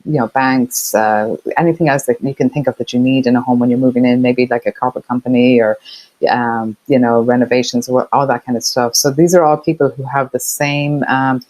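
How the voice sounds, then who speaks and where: A woman's voice: extremely low pitch, steady tone, a little fast, darkish tone, dry recording, low-quality recording. A middle-aged woman from Ireland with an Irish accent touched by Boston, chatting easily as an interview guest with many fillers. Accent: Irish with some Boston. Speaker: guest, partnerships expert. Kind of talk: casual interview chat.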